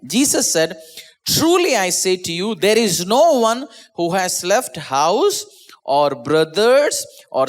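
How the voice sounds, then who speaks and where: A man who is a pastor, with an Indian English accent and Malayalam as his first language, preaching an online sermon in an earnest way, often repeating and restarting words. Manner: earnest, repeats and restarts words, drops pitch at phrase ends. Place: small church.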